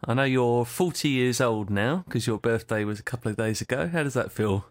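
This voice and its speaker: A man hosting a casual radio interview, friendly and quick to laugh along with his guest. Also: mid-range voice, no echo. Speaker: radio host.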